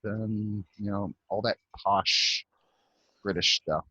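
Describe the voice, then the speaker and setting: A man's voice: fairly low pitch, fairly even intonation, takes it slowly, pushed and bright, a little hiss or hum, poor audio. A man from the Southern United States with a Southern accent, hosting a casual interview chat. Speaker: interview host.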